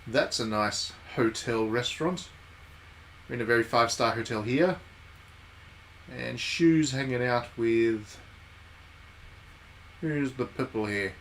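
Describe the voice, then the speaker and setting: A man's voice: medium pitch, takes it slowly, pauses often, fairly bright tone, a little hiss or hum. A man giving casual, wry live commentary as he streams a visual novel. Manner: wry, jokey asides. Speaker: game streamer.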